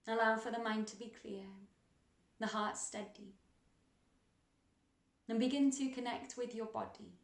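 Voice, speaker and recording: A woman leading a guided meditation in a gentle, soothing, unhurried manner, leaving long pauses between instructions. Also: on the high side, steady tone. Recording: roomy, echoing sound.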